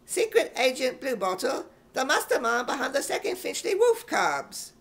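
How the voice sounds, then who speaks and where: Boastful, gullible small British schoolboy, high-pitched, for radio comedy. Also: male voice, big pitch swings, moderate pace, gravelly, fairly bright tone, exaggerated voice. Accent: imitation British. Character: naive, boastful little schoolboy who reads his stage directions.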